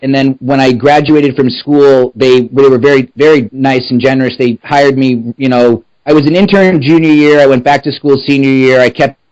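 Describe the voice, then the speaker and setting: A man's voice: medium pitch, steady tone, normal speed, hardly any pauses, a little mellow, muffled recording. A man in his thirties, a podcast co-host, talking earnestly at home and often repeating words as he goes. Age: 30s. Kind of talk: casual interview chat. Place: his house.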